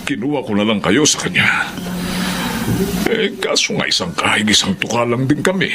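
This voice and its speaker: Radio drama support role: man playing a poor, sympathetic uncle, animated delivery, noisy recording. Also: mid-range voice, normal speed, close to an everyday voice. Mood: indignant sympathy. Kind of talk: Filipino radio drama acting.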